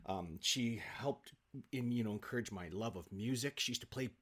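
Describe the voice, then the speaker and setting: A man, probably middle-aged, telling anecdotes casually in an animated, self-deprecating, halting way as an interview guest. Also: medium pitch. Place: home office, on a remote call.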